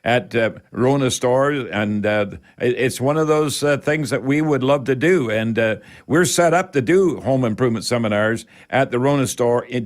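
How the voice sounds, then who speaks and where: An older man with a Canadian accent hosts a talk radio show about home improvement. His delivery is folksy and rambling, with emphatic phrasing aimed right at the listeners. His pitch sits in the middle range for a man.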